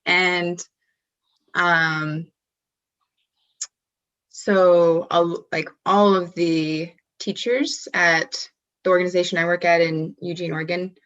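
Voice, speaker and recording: A woman who coaches wellness tells a story in an easygoing, conversational way. She hesitates often, filling gaps with "um" and restarting her thoughts. Her voice sits a little on the low side. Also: little pitch movement, takes it slowly, long pauses, a bit bright, muffled recording. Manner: hesitant, many ums and restarts.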